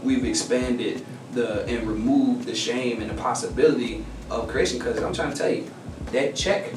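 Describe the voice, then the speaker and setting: A man who writes songs, a guest telling stories in a casual interview, animated and slangy. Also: medium pitch, normal speed. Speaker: guest songwriter. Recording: echoey room, distant mic, noisy.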